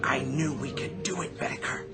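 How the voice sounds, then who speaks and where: An actor playing an earnest, exasperated defense lawyer in a radio drama. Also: male voice, mid-range voice, normal speed, natural voice. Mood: relieved, self-satisfied. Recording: music under the voice.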